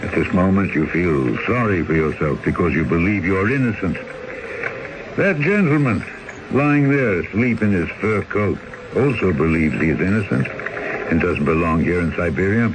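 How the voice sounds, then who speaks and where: A man's voice: deep voice, normal speed. A weary old boatman. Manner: calm, world-weary, lecturing. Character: weary older male boatman, fatalistic. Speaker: boatman character. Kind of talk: 1970s radio drama acting. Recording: noisy old radio broadcast.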